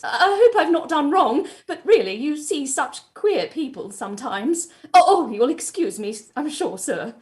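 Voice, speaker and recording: A woman's voice: high-pitched, very animated, a little slow, few pauses, a little mellow. A woman playing a deferential, fussy housekeeper in a staged play reading, fretful and primly disapproving. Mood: apologetic, fretful.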